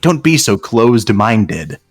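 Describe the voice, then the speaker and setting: A man with a low voice that swings widely in pitch. He reads aloud with mock earnestness and banters casually, often breaking into laughter. The sound is dry and close. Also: sing-song, slow pace, no echo, soft background music. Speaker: online comedy show host reading fanfiction aloud. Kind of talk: casual comedic read-aloud and banter among friends.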